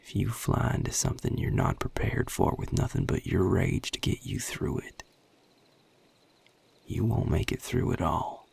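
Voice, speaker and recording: A man playing a guarded, world-weary vampire in an intimate audio roleplay, with a Southern US accent and a breathy, gravelly drawl, speaking in measured, hushed phrases. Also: deep voice, full character voice. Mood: grave warning. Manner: measured, hushed, plain-spoken with deliberate pauses. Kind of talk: intimate audio roleplay acting addressed to the listener.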